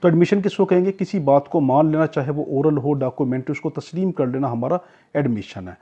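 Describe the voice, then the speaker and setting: A man with a fairly high, husky voice lectures like a tutor. He explains step by step, repeats key points and poses questions that he answers himself. The recording is dull and lo-fi. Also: a little fast, mellow. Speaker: law tutor. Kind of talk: explanatory exam-prep lecture with everyday examples.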